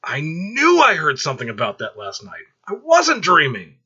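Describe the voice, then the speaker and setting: A man voicing a mischievous, excitable young man who teases his best friend in goading slang, in a read-aloud story. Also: fairly high pitch, moderate pace, husky, muffled recording, voice pushed up, exaggerated voice. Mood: gleeful. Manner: gleeful, goading slang talk.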